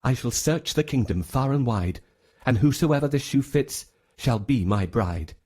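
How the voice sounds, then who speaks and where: A man's voice: medium pitch, lively intonation, unhurried, warm tone, husky, no room sound, clean studio sound. A man playing an earnest, lovestruck young prince in a children's storybook, speaking in a declamatory, theatrical way. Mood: resolute. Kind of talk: children's storybook character acting.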